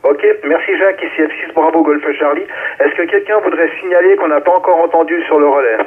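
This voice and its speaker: Guy running a ham radio net, slightly high voice, thin radio sound. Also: a little fast. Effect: two-way radio. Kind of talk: amateur radio net relay talk. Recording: narrow, tinny ham radio relay.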